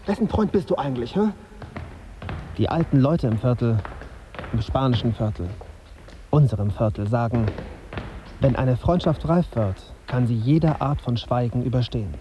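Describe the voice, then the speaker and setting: A man's voice: mid-range voice, expressive, normal speed, long pauses, mellow, a little hiss or hum, natural voice. An actor playing a brooding, embittered young male journalist in a radio drama, with a sardonic, indignant manner. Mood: bitter, indignant. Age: young adult. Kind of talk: radio drama dialogue and inner monologue.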